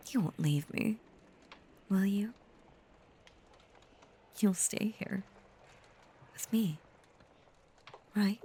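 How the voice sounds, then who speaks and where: A woman voicing an obsessive, possessive female werewolf lover in an intimate audio roleplay, breathy and often whispering, with a halting, intense, confiding delivery that is tender yet menacing. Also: lowish voice, darkish tone, full character voice. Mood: desperate, possessive.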